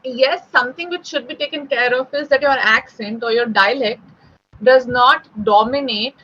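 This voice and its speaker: A woman's voice: sits high, moderate pace, a bit bright, highs cut off. A young woman from Delhi with a Delhi Indian English accent, tutoring spoken English in a conversational online lesson and often checking in with "right?".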